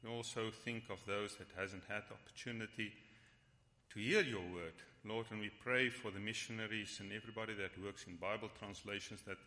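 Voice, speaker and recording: A man whose first language is Afrikaans leads a church Bible study and prayer, speaking haltingly with frequent restarts. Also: fairly low pitch, fairly even intonation, pushed and bright. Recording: long hall reverb.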